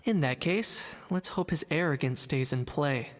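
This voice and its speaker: A man's voice: fairly high pitch, measured pace, natural voice. A man voicing a straightforward, thoughtful hunter ally in a video game, plain-spoken and earnest. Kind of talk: animated video game voice acting. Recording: long reverb, faint music.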